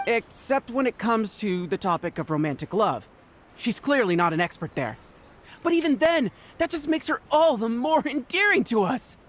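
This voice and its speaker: A woman's voice: fairly high pitch, expressive, moderate pace, a little hiss or hum, close to an everyday voice. A woman playing a devoted fan club member in a video game, speaking fondly and earnestly.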